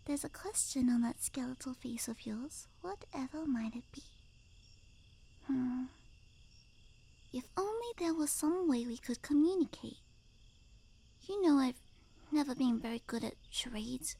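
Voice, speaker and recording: An obsessive, lovestruck necromancer girlfriend acted by a woman for an ASMR roleplay. Her voice is high and delicate, with creaky edges that slip into hushed half-whispers. She speaks slowly and clingily, cooing with sweet, unhinged devotion. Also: exaggerated voice. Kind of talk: ASMR roleplay, intimate soft-spoken acting with whispering.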